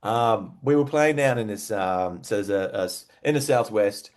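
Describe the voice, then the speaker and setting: A man's voice: lowish voice, lively intonation, normal speed, darkish tone. Australian man, slangy casual rock storyteller. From Western Australia. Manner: laid-back slangy storytelling, enthusiastic about music. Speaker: rock musician, interview guest. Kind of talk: casual interview chat.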